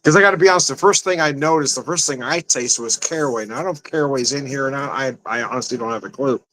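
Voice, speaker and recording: A man at a mid-range pitch reviews a drink in an easygoing, rambling way. He laughs often, trips over his words, and talks straight to the camera. He sounds like a regular host chatting with his viewers. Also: fast, a bit bright, slight background noise. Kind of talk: casual tasting review.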